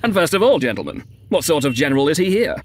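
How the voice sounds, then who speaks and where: A man's voice: on the high side, very animated, quick pace, slight background noise, pitched above the performer's own voice. A man voicing an insolent, mocking aristocratic dead scoundrel with a taunting, declamatory manner in a story. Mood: taunting, scornful.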